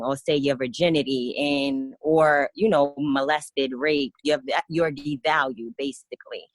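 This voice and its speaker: A woman in her twenties from Kenya, a guest on a radio talk show, telling her own story earnestly with many pauses and fillers. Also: low-pitched, no room sound. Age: 20s. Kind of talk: radio interview, personal testimony.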